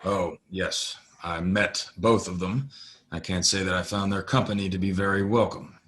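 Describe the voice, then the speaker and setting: Man playing a low-voiced polite cowboy stranger. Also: fairly even intonation, normal speed, a bit bright. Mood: measured. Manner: courteous, understated. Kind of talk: audio play western dialogue.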